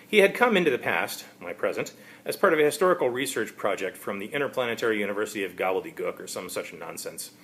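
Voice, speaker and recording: A man narrates a first-person story as an amateur reader. His delivery is halting and wry. His pitch varies widely, giving the sentences a lot of movement. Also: lively intonation, fairly bright tone. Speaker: author reading his own story.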